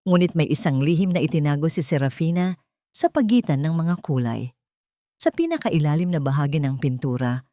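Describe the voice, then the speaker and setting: Female narrator for scripted dramatic fiction, lower register, close dry sound. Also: dark tone, no room sound. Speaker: storyteller.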